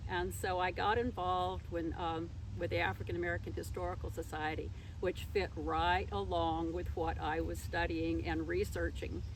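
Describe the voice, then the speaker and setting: A woman's voice: fairly low pitch, level tone, moderate pace, hardly any pauses, rough recording. An older woman telling her own story as an interview guest, speaking in an unscripted, conversational way. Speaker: interview guest, former teacher. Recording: faint traffic noise behind.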